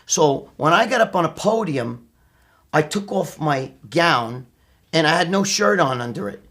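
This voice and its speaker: A man's voice: on the high side, measured pace. A middle-aged man with a New York accent telling personal stories casually, brash and profane, acting out what people said. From New York, USA. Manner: brash, profane, animated retelling with acted quotes. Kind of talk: casual anecdotal storytelling.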